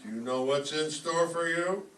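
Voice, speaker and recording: A man playing a stern, blustering high school principal with pompous formality in an old-time radio comedy. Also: moderate pace, fairly bright tone, close to an everyday voice. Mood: menacing. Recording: noisy, echoey stage, far from mic.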